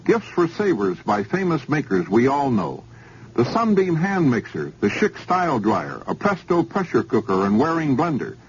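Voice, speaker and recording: A man's voice: mid-range voice, very animated, moderate pace, slightly rough. A man reading a radio commercial with upbeat salesman patter. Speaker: commercial announcer. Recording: dull old radio broadcast.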